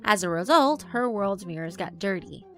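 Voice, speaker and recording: A woman's voice: medium pitch, expressive, moderate pace, a bit bright, soft background music. A woman reading dramatic scripted narration for a visual novel.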